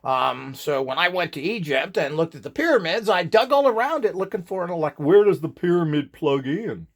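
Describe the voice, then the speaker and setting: A man with a fairly high-pitched voice and a heavy gravelly creak delivers an informal lecture. He is opinionated and emphatic, and he wanders into digressions as he explains things like an instructor. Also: sing-song, fairly quick. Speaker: instructor in radionics.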